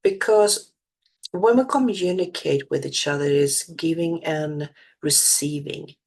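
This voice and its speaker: A woman's voice: sits low, measured pace, darkish tone, breathy edge. A woman from Sweden with a Swedish accent, a spiritual channeler speaking in a reflective monologue. She often hunts for words and restarts her phrases. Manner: searching for words, many restarts and fillers.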